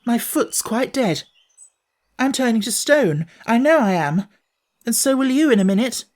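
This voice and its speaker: A woman's voice: fairly high pitch, animated, unhurried, darkish tone, pitched above the performer's own voice. A woman voicing a dramatic young boy in a children's storybook, fretful and urgent. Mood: alarmed.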